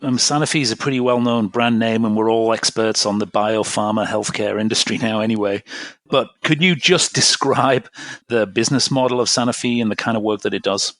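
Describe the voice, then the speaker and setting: A man's voice: lowish voice, a little fast, a bit bright. A man hosting a podcast interview and asking questions in a friendly, conversational way. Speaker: podcast host.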